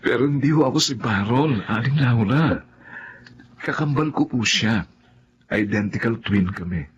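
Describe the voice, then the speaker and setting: An actor playing an earnest, easily flustered young boyfriend in a Tagalog radio drama, on a muffled recording. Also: male voice, medium pitch, expressive, unhurried, a little hiss or hum, lightly acted.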